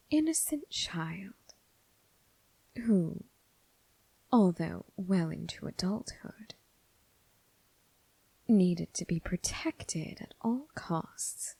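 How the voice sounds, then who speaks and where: A woman acting as a seductive, coolly amused and menacing crime boss in an ASMR roleplay, speaking in a breathy near whisper with deliberate, teasing, formal phrasing. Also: lowish voice, mellow, no room sound, exaggerated voice, rough recording. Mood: resentful, predatory.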